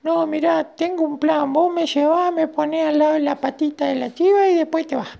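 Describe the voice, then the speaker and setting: Man voicing a tiny squeaky brave little ant. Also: fairly even intonation, moderate pace, creaky, warm tone, exaggerated voice. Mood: confident, plotting. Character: tiny brave clever female ant helper.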